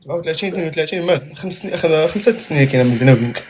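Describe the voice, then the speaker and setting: A man's voice: fairly high pitch, expressive, very fast, slight background noise. A man in his thirties with a Moroccan Arabic accent, a chatty caller on a video call who keeps swearing by God. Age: 30s. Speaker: caller on a live stream.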